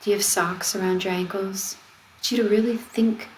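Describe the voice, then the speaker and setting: A woman leading a guided meditation, speaking in a gentle, soothing, conversational way as she gives simple instructions. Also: medium pitch, moderate pace, low-quality recording. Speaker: meditation guide, wellness coach. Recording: steady noise of ocean waves. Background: ocean wave sounds.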